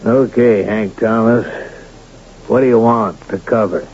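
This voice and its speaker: An American actor playing a crooked, domineering town boss and gambler in a Western radio drama, with rough colloquial talk. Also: male voice, on the low side, fairly even intonation, unhurried, darkish tone. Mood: gruff, challenging. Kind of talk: old-time radio drama acting. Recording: muffled, noisy old radio recording.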